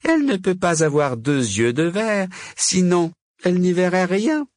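A man's voice: sits high, big pitch swings, a little slow, no echo, studio quality, pitched above the performer's own voice. A man voicing a vain, scheming witch in a storybook, melodramatic and easily indignant. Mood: smug scheming. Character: vain, shrieking witch with a huge pointed chin.

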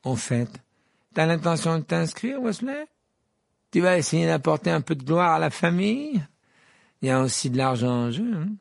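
A man's voice: a little high, sing-song, normal speed, creaky, dry recording, clean studio sound, pitched above the performer's own voice. A man voicing a spoiled, sneering teenage wizard boy who mocks and gloats. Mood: gloating. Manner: mocking, gloating, laughing.

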